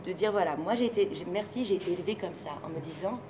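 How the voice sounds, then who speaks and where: Lowish-voiced woman interviewee, noisy location sound. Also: fast talker. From France. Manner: hesitant, thoughtful, laughs easily. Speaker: interviewee, singer and stage performer. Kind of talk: relaxed interview talk.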